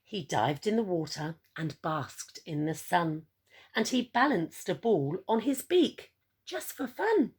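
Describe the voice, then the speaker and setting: A woman reading a picture book aloud to children, theatrical and expressive, with encouraging asides and questions to young listeners. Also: on the low side, slow, husky.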